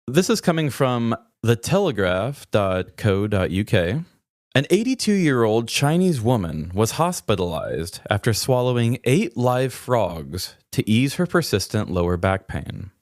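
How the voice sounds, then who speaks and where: A man's voice: mid-range voice, sing-song, measured pace, darkish tone, no room sound, clean studio sound. A man co-hosting a podcast in a casual, comedic way, telling stories and reading articles in a rambling, conversational manner. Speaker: podcast co-host.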